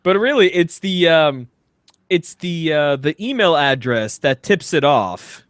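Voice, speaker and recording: A man's voice: on the high side, lively intonation, measured pace, a bit bright, no room sound, clean studio sound. A man co-hosting a podcast in casual comedic banter, speaking in a somewhat hesitant, stammering way. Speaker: podcast co-host.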